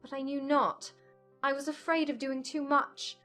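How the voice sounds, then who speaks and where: A woman playing an agitated, self-reproaching young gentlewoman in formal dialogue from a dramatized period audiobook. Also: high-pitched, flat delivery, measured pace, soft background music. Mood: wretched self-reproach.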